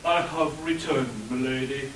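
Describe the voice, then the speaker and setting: Man as an obliging, high-pitched British butler. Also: sing-song, unhurried, vocal fry, fairly bright tone, exaggerated voice. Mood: deferential. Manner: formal, obliging. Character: deferential male butler, quietly smarter than employer, unflappable. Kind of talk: comic radio play acting. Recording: roomy echo, distant mic, faint noise.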